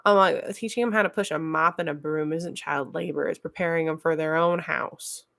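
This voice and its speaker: A young adult woman from the United States hosting a casual chat stream, blunt and animated, laughing often as she talks. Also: deep voice, brisk, gravelly. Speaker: stream host. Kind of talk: casual chat reading chat messages.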